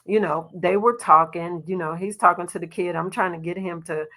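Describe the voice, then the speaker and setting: A middle-aged woman from Arkansas, a basketball coach, telling stories in a relaxed podcast interview with a soft voice and lots of "you know". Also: fairly low pitch, moderate pace. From Little Rock, Arkansas. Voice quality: soft-spoken. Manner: storytelling, warm laughs, frequent "you know". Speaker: associate head basketball coach, guest.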